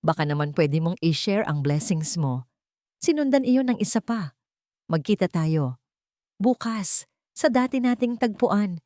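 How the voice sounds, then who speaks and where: A woman's voice: on the low side, animated, measured pace, long pauses, darkish tone, no echo. A menacing blackmailer of an ex-boyfriend played by a woman in an audio romance drama. He is smug and taunting, pressing his threats with desperate greed. The sound is dry and close.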